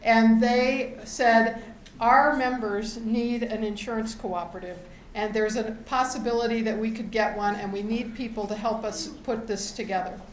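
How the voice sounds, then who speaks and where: Loud enthusiastic woman addressing a hall. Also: fairly high pitch, fairly even intonation, moderate pace, few pauses, a little hiss or hum. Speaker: outgoing board member opening a meeting. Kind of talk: speech at a membership meeting. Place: event hall with an audience. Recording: echoey hall with a faint crowd.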